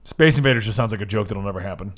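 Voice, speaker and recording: A man's voice: lowish voice, very fast, fairly bright tone. A man co-hosting a casual discussion show, talking in an opinionated, scornful and exasperated way. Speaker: co-host of a video game list show.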